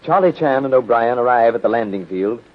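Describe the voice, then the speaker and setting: A man's voice: mid-range voice, expressive, a little fast. A man narrating a mystery serial as a 1930s radio announcer, formal and dramatic. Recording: dull, lo-fi 1930s radio recording.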